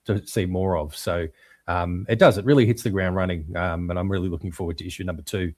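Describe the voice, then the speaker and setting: A lower-pitched man co-hosting a podcast, talking comics in an easy, casual way. He stops and restarts often and fills gaps with ums, yet his enthusiasm comes through. The sound is dry and close, with no room echo. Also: steady tone, darkish tone. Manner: halting, full of ums and restarts. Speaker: podcast co-host. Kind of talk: casual chat about comics.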